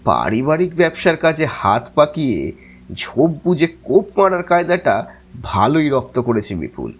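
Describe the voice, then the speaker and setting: Guy narrating an audio story, wry and expressive, husky voice, really animated. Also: on the high side, moderate pace. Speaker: storyteller, first-person narrator. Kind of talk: scripted dramatic narration.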